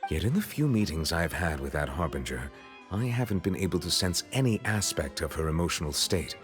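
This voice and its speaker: A solemn, dignified male judge from a video game speaks on the low side. He is wary and eloquent, choosing formal words deliberately, with soft music underneath. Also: sing-song. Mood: grave caution. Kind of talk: video game character dialogue.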